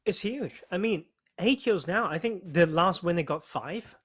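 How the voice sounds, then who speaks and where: A man's voice: high-pitched, animated, a little slow, fairly bright tone, slightly rough. A man co-commentating an esports match in a chatty way, with many fillers and restarts. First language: not Mandarin. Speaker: esports co-caster.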